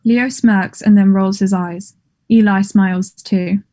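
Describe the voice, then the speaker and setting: Female narrator for a play table read, dark, relaxed tone, matter-of-fact delivery of stage directions. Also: medium pitch, unhurried.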